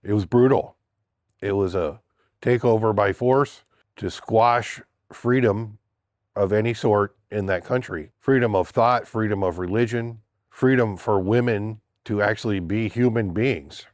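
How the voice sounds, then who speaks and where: A man's voice: lowish voice, measured pace, darkish tone, no room sound, studio quality. An older man with a stuffy, congested voice from a cold, giving a blunt, indignant opinion monologue in a casual vlog. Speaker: political vlogger.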